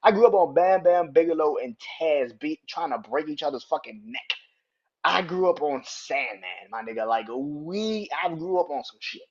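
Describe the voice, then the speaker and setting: A man's voice: fairly high pitch, expressive, moderate pace, husky, lo-fi sound. A young man hosting a podcast, counting down a ranking in casual, profane talk, often laughing and getting worked up. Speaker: podcast host.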